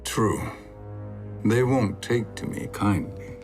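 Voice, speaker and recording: An actor playing a sly older sorcerer in a fantasy video game, speaking in a measured, silky and insinuating way. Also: male voice, on the low side, music in the background. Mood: wry. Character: sly, smooth-tongued older male sorcerer, scheming. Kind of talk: cinematic fantasy game acting. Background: cinematic score.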